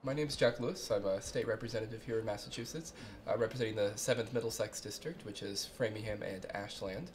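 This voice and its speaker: A man's voice: on the low side, fast, hardly any pauses, noisy background. A man from Ohio who serves as a state representative, telling his story fluently and conversationally in a documentary interview. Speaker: state representative, former minister.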